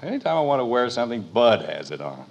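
Exasperated sitcom dad, wildly swooping delivery. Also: male voice, medium pitch, very animated, fast, vocal fry, highs cut off. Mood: grumbling. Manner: dry sarcasm, grumbling. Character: exasperated, sarcastic middle-aged family father at home. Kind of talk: 1940s-50s radio sitcom acting. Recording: old radio recording with noise.

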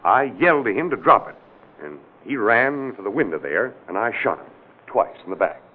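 Male for a folksy, earnest police chief, excitable and very lively in pitch, on a thin, hissy vintage radio recording. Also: moderate pace. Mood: earnest recounting. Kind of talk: 1940s radio crime drama acting. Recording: noisy, narrow-band old radio recording.

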